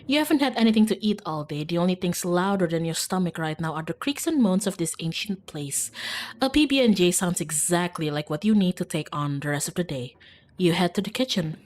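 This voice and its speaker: A woman's voice: lowish voice, expressive, fairly quick, a little mellow, lightly acted. A woman reading as a wry, dramatic second-person narrator of a visual novel. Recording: background music.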